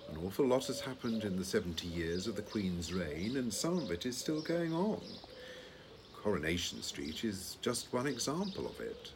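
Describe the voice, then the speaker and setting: A man acting in an audio play as a long-suffering, placid retired British husband, older, with a dry, reasoning, gently needling manner. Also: lowish voice, sing-song, normal speed, noisy background. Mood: exasperated.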